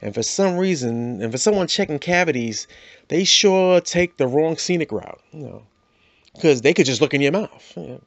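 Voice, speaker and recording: A man's voice: fairly high pitch, expressive, normal speed, no echo. A middle-aged man riffs through a stand-up bit, slipping into little character voices and leaning on "you know." The recording is dry and dull, with a lo-fi edge. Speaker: comedian host. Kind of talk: stand-up comedy monologue.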